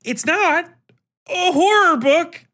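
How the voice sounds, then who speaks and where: A man talking casually as a podcast host reviewing books, slangy and full of swearing, animated and given to acting out mock exchanges. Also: very high-pitched, takes it slowly. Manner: profane, slangy, informal. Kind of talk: casual rambling book review talk.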